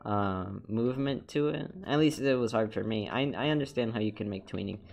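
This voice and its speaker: A man's voice: fairly low pitch, brisk, gravelly, darkish tone, soft background music. A young man with a congested, nasal voice chatting casually as a streamer while he animates, rambling with repeated words and laughs.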